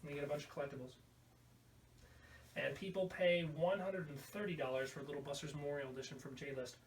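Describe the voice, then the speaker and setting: A man with a fairly high voice talks casually to the camera as a podcast host, wandering from item to item. His delivery is irreverent and crude. He sounds set back from the mic in a very echoey room, with faint noise behind him. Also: fairly even intonation, brisk. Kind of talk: casual rambling show-and-tell to camera. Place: indoor room at home. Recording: roomy, distant mic, faint background noise.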